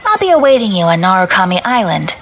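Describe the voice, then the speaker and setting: A woman's voice: medium pitch, sing-song, fast talker, soft background music. A woman playing a composed, courteous young guild receptionist in a video game, speaking in polite, formal phrases. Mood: gracious. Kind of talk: video game character acting.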